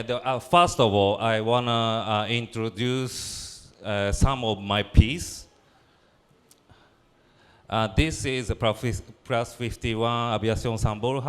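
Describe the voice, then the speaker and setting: A young man from Peru with as his talks through his plays in a slow, unhurried way, and his voice sounds smooth and clear. He pauses often, fills gaps with "uh" and restarts his sentences as he presents at a symposium. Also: lowish voice. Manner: halting, many fillers and restarts. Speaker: playwright and theater director.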